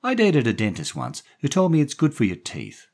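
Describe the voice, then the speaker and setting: A man's voice: mid-range voice, wildly swooping pitch, normal speed, background noise, voice pushed up. A man voicing a brassy, coaxing young American woman, a gangster's moll with slangy talk, in a story reading. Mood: chatty, sweet. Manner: slangy, coaxing, calls people honey.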